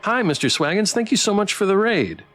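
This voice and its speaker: A man live-streaming a story game, switching between casual, playful, halting chat with viewers and reading narration aloud. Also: high voice, sing-song, a bit bright. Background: background music.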